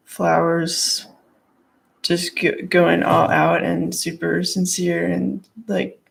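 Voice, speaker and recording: A hoarse woman with a gravelly voice doing a casual tarot and crystal card reading in her living room, rambling with lots of fillers. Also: lowish voice, rough recording. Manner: rambling, lots of "like" and "you know".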